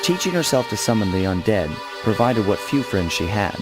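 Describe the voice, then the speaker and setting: Casting: smooth-talking young raccoon burglar hero. Fairly low, confident and wry, video game cartoon, under heavy music. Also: male voice, big pitch swings, moderate pace. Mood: wry storytelling. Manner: laid-back, confident, wry. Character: cool, cocky young male raccoon thief hero. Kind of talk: video game cartoon acting and scripted backstory narration. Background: loud music.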